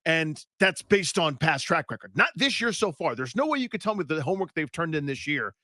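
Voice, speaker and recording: A man's voice: a little high, big pitch swings, brisk, sharp tone, no echo, studio quality. A man co-hosting a sports talk radio show who lays out his reasoning at length. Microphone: studio mic.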